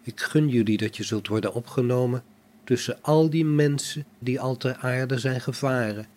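A man's voice: medium pitch, normal speed, a little mellow, close to an everyday voice. A man playing a serene, pious robot in a radio play, speaking solemnly and formally. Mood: solemn pity. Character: serene, pious, male robot, devoted to its maker.

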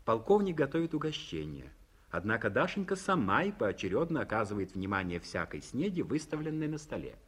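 A man narrating the stage directions of a 1950s radio play in a measured way. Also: mid-range voice, big pitch swings, breathy edge.

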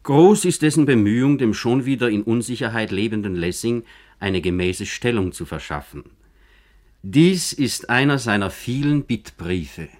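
A man narrating a scripted literary radio documentary in a formal, measured manner. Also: medium pitch, lively intonation, darkish tone.

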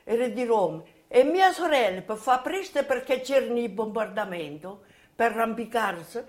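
Elderly woman, higher-pitched voice. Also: expressive. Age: 80s or older. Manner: halting, repetitive storytelling. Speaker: witness giving video testimony. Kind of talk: personal reminiscence, spoken testimony.

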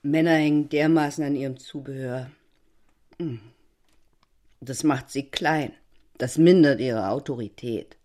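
A woman's voice: very deep voice, a little slow, long pauses, warm tone. An actress playing a tipsy, opinionated, brittle married woman in a theatrical audio drama, with a languid, sardonic way of pronouncing her views. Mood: tipsy musing.

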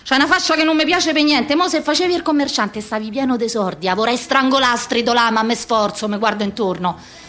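A woman's voice: a little high, brisk, fairly bright tone, natural voice. A woman performing a theatrical slang monologue as a harried, foul-mouthed office worker around fifty, with a Roman accent and a coarse, rhythmic rant. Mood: bitter, exasperated.

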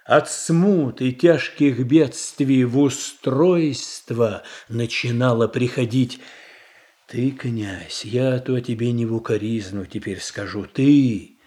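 An actor playing a measured, self-assured statesman in a verse radio play, speaking in a deliberate, formal, declamatory way. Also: medium pitch, a little mellow, lightly acted. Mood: firm, reasoning. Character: measured, self-assured statesman boyar defending his reforms.

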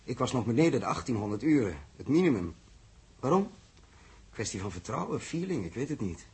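A man acting an earnest, composed and authoritative spaceship commander in a 1960s radio drama, with a Netherlands Dutch accent and a husky voice. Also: mid-range voice, very animated, a little fast, darkish tone, full character voice. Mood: earnest, trusting. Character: earnest, steady male spaceship commander and test pilot.

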